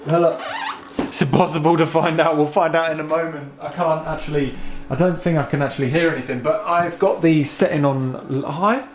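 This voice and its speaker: A British man giving casual, excitable hands-on commentary as he tinkers with gadgets, thinking aloud informally. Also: a little high, brisk. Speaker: host of a vintage technology museum channel.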